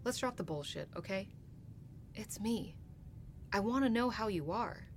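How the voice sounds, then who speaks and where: A woman's voice: mid-range voice, lively intonation, measured pace. A female starship captain in a sci-fi audio drama. She is frank and self-assured, with a teasing, conversational edge and a mid-range voice. A faint noise sits behind her. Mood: frank, caring. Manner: wry, conversational, playfully probing.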